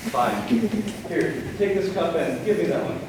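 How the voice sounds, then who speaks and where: A man's voice: on the high side, a little slow, natural voice. A young man acting a weary, sarcastic middle-aged brother in a live amateur stage comedy. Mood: placating. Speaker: actor in a school play. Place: large indoor stage in an auditorium. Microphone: distant camera microphone. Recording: echoey auditorium from far off, noisy.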